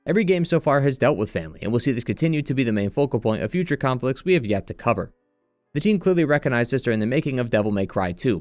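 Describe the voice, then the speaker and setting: A man reading scripted narration in a measured, earnest way, like a video essayist walking through a story retrospective. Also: mid-range voice, no room sound, clean studio sound. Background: background music.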